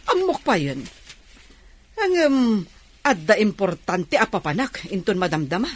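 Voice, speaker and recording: A woman's voice: medium pitch, sing-song, a little slow, husky, no echo. A woman acting a proud, resentful, sarcastic aunt in Ilocano radio drama, with a Filipino accent. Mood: haughty, sarcastic.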